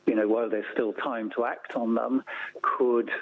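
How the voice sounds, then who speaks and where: A man being interviewed on the radio, explaining policy in a measured way with repetition for emphasis. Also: medium pitch, flat delivery, slightly rough. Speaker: interview guest, policy campaigner. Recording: thin phone-like line.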